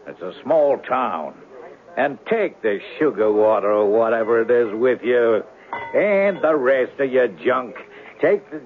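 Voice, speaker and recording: Guy doing fed-up cop sergeant, slangy and sarcastic, radio drama, pitch all over, phone-like old sound. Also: expressive, unhurried, lightly acted. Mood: weary irritation. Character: exasperated, wisecracking male police desk sergeant. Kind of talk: 1970s radio drama acting. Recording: narrow-band old radio broadcast.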